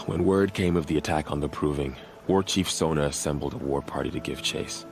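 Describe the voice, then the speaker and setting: Super deep voiced warrior on gate duty, grave and dutiful, game dialogue, bit of music behind. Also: male voice. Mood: somber, earnest. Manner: measured, earnest, grave. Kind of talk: video game voice acting.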